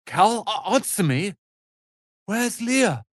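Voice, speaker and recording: A man's voice: sits high, big pitch swings, takes it slowly, no room sound, studio quality, full character voice. A man voicing a haughty, sardonic young wizard's apprentice in a video game, with a pompous, theatrical delivery. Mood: anguished anger. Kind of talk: dramatic video game voice acting.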